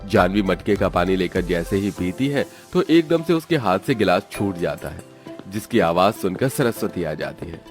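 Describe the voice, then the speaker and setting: A man with a fairly low voice reads a scripted story as its narrator. Faint music plays underneath him. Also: expressive, normal speed. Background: background music.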